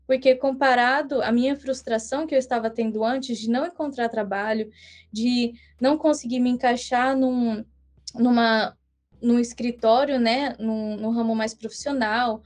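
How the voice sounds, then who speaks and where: A young woman whose first language is English, telling her story as a guest on a podcast in an earnest, measured way with small restarts. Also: a little high, steady tone, darkish tone. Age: young adult. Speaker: guest missionary. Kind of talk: casual podcast interview.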